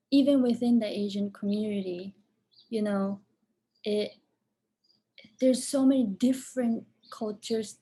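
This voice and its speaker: A woman's voice: medium pitch, dark tone. A woman who grew up in Japan, speaking English as a second language, answering questions about her film in a hesitant way with many restarts. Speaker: film director. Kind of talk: casual Q&A answers.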